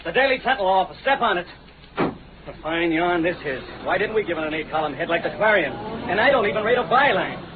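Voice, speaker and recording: An actor playing a brash, grumbling newspaper reporter with punchy slang in a 1930s radio drama. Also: male voice, high voice, lively intonation, a little fast, narrow, tinny audio. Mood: exasperated. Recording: noisy, thin 1930s radio recording.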